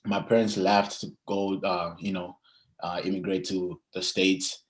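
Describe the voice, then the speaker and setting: A man's voice: low voice, little pitch movement. A young man, chatting casually and hesitantly as an athlete being interviewed. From Zimbabwe and South Africa. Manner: hesitant, frequent pauses and "you know".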